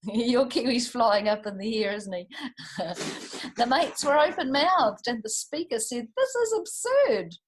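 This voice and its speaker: A woman's voice: fairly high pitch, unhurried, slight background noise. A woman with a New Zealand accent reading a picture book aloud to children, expressively, with chatty asides. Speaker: storytime reader for children.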